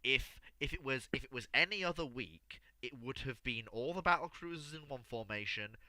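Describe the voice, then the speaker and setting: A man's voice: medium pitch, lively intonation, moderate pace, sharp tone, dry recording, rough recording. A man hosting an unscripted history debate, insistent and often repeating his words as he cuts in. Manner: insistent, repeats words and stammers when cutting in. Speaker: host of a live history discussion show. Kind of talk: unscripted animated group debate.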